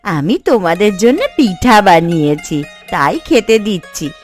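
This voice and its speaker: A woman's voice: mid-range voice, sing-song, unhurried, faint music behind. A woman voicing an elderly, anxious, hospitable village grandmother in a Bengali cartoon story. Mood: welcoming.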